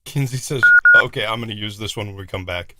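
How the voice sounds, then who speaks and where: Bright-voiced male co-host. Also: fairly low pitch, quick pace, slight background noise. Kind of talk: reading a comic list on a live show.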